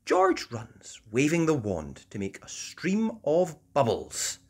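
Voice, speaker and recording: Brief: female storybook reader and host, unhurried, expressive, hugely varied intonation for young children. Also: low voice, slightly rough. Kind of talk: expressive children's read-aloud narration.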